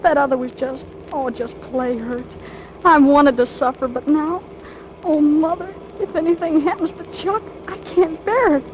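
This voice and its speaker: Actress as lovesick teenage girl, high voice, melodramatic, impulsive, 1940s radio play, muffled recording. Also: fairly even intonation, normal speed, lightly acted. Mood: anguished. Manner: melodramatic, breathless exclamations. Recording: muffled, noisy old radio broadcast recording.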